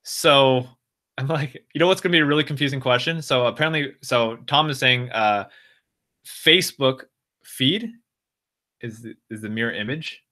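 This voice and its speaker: Brief: American man, live stream host, bright forceful voice, mid pitch, casual and rambling. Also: moderate pace. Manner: talks straight to viewers, reads out and answers chat comments; loose, rambling, full of restarts and fillers.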